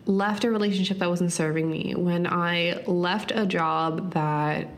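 A woman's voice: fairly low pitch, fairly even intonation. A woman in her thirties hosting a solo podcast, talking earnestly and reflectively with many "like" fillers. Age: 30s. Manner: earnest, frequent "like" fillers, speeds up and slows for emphasis. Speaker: podcast host.

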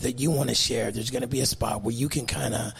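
A man's voice: mid-range voice, steady tone, fast talker, creaky. A man hosting a radio show, talking in an upbeat, conversational way and plugging the show. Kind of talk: casual radio chat.

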